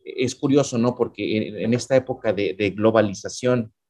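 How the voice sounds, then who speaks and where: A middle-aged man, a professor talking things through in a relaxed discussion, with frequent restarts and fillers. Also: lowish voice. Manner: halting, many repeated words and fillers. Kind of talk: conversational academic discussion.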